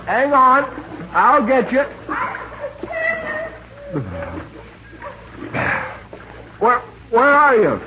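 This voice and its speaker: An actor in a 1940s radio drama playing an exasperated, flustered young man who sputters and blusters. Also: high voice. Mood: alarmed, urgent. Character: exasperated, flustered young man secretly sheltering a stowaway woman. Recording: muffled, noisy 1940s radio recording.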